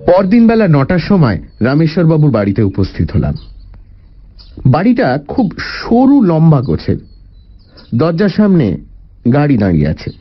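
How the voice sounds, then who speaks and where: Highly animated male story narrator. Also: normal speed, pauses often, highs cut off, slight background noise. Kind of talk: dramatic first-person story narration. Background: faint music.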